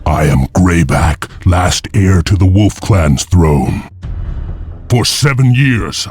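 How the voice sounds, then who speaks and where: A deep-voiced, husky clan heir from a fantasy game. He speaks slowly and gravely, a solemn warrior set on vengeance, with faint music behind him. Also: exaggerated voice. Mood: defiant, resolute. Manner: grave, deliberate, storyteller-like. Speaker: story narrator and lead character. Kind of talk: dramatic scripted game narration and dialogue.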